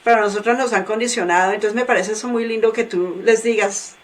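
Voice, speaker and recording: A woman hosting a podcast interview from Michigan, praising her guest with halting restarts. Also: a little high, fairly even intonation, distant mic. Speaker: podcast host.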